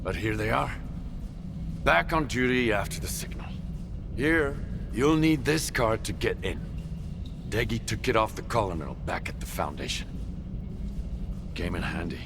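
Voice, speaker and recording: An actor playing a weary, resolute veteran wasteland leader in a video game, gravelly, measured and grave. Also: male voice, on the low side, lively intonation. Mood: weary, briefing. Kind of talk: video game cutscene acting. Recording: loud music over the voice.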